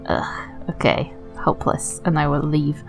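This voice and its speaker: A woman's voice: deep voice, flat delivery, measured pace, faint music behind. A woman playing a tabletop game, talking casually with dry, teasing remarks. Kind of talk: casual banter.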